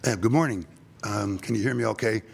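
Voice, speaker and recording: A man's voice: lowish voice, creaky, slightly rough. Older man with a cold-scratchy voice. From Seattle. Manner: halting, many ums and uhs. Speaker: political candidate. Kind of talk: candidate forum statement.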